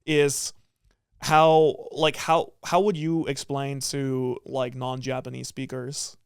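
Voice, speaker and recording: A man hosts a relaxed podcast conversation, his smooth voice recorded close and dry on a studio mic. He rambles through his points, stacking "like" and restarting sentences, and laughs easily along the way. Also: mid-range voice, slow pace, dry recording. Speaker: podcast host. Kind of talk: casual podcast chat.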